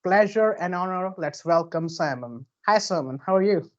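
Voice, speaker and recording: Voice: mid-range voice, lively intonation, normal speed, muffled recording. Polite interview host, formal questions. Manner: polite, formal phrasing with brief affirmations.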